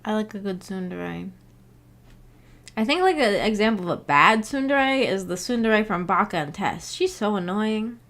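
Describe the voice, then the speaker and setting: Female livestreamer, mid-pitched voice, giggly and rambling, reading and answering chat casually. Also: animated, normal speed, a bit bright. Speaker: streamer, book club host. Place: indoor home streaming setup.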